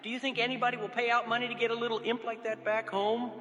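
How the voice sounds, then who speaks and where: A man voicing an anxious, aggrieved rough kidnapper in a storybook, whiny and folksy. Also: very high voice, level tone, quick pace, bright, forceful tone, lo-fi sound, pitched above the performer's own voice. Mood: needling, fearful. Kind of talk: storybook character voice. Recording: long reverb, music behind the voice.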